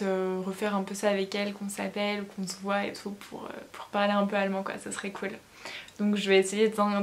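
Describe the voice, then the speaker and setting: A young woman vlogging in casual chat, chatty and self-mocking, laughing at herself now and then. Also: medium pitch. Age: young adult. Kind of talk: casual study-with-me vlog chat.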